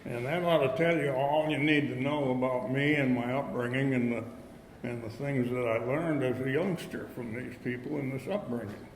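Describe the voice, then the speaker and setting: A man's voice: medium pitch, steady tone. Man in his eighties, folksy, echoey hall. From upstate New York. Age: 80s. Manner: folksy, halting, with many fillers and restarts. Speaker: AA speaker. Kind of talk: recovery talk at a meeting. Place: meeting hall. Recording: echoey hall, faint background noise.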